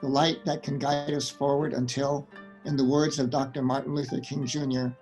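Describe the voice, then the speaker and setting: A man giving formal scripted narration in a measured, earnest manner, delivered phrase by phrase. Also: medium pitch, rough recording. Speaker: narrator and event host. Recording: instrumental music under the voice. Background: plucked string music.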